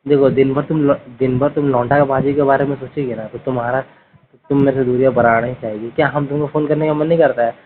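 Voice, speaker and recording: A man's voice: medium pitch, flat delivery, quick pace. A man with an eastern Indian Bihar-Jharkhand Hindi accent in a casual private phone chat, pressing insistently with repeated questions. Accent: eastern Indian Hindi, Bihar-Jharkhand region. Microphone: phone line. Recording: noisy, narrowband phone call recording.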